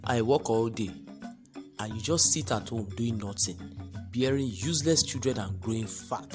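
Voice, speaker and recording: Woman voicing a low, scornful husband. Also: moderate pace, over music. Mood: scornful, berating. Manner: contemptuous, berating. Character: cruel, scornful husband berating his wife. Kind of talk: storybook character voice in a folktale.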